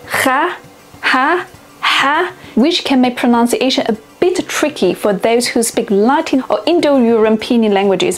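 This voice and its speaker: Young female host, Chinese-native, fairly high pitch, clear and deliberate teacher delivery over faint background music. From China. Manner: clear, deliberate, slows down to pronounce example words. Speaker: language teacher and video host. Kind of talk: scripted educational narration.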